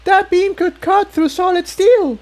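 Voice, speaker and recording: A man's voice: very high-pitched, fairly even intonation, a little slow, a little mellow, pitched above the performer's own voice. A man voicing an alarmed female pony who scolds urgently in a fantasy adventure story reading. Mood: alarmed, scolding. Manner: urgent, exclaiming.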